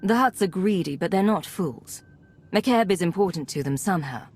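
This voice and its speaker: Cool, composed imperial spy. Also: female voice, fairly low pitch, animated, normal speed, a little mellow, slightly rough. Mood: skeptical. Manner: composed, clipped, skeptical. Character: cool, defiant female imperial intelligence agent. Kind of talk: dramatic video game acting.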